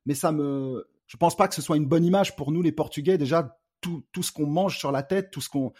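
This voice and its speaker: A man's voice: a little high, fairly quick, a little mellow, husky. A man giving a testimony, indignant and hesitant, with many fillers. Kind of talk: testimony interview.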